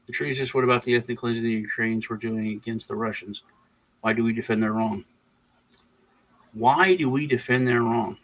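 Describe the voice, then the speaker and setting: A man from Texas who was raised in Germany, hosting a casual political livestream chat and rambling with halting restarts. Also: medium pitch, a bit bright, low-quality recording. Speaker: livestream host.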